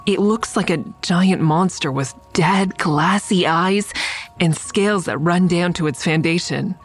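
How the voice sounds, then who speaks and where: An actress playing a reflective, tender woman who narrates an audio drama in measured, descriptive first person. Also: low-pitched. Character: reflective woman admiring a friend's mural, tender. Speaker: narrator and lead character.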